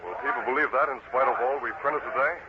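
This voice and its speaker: An actor playing a composed, urbane wealthy publisher with a secret vigilante side, in an old radio drama. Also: male voice, mid-range voice, fast, lightly acted. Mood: concerned. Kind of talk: 1940s radio drama acting. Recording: dull, noisy old-time radio recording.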